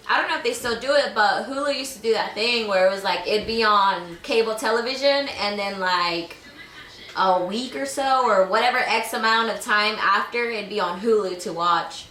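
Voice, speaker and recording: Bright rambling woman, off-mic. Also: medium pitch, moderate pace, far from the mic. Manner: rambling, many fillers and trailing phrases. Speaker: podcast co-host. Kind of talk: casual chat. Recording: echoey room, off-mic.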